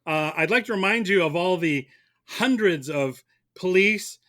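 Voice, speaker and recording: A man arguing as prosecutor in a mock courtroom debate, speaking haltingly and repeating words as he makes his points. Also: high-pitched, expressive, bright, forceful tone. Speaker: guest debater playing prosecutor.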